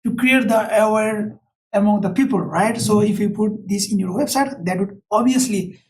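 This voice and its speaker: A man from Nepal with a Nepali accent, a researcher answering questions at a public board meeting, speaking haltingly with frequent fillers. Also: high-pitched, distant mic, low-quality recording. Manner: halting, many "like, you know" fillers. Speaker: university researcher. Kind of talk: meeting presentation Q&A.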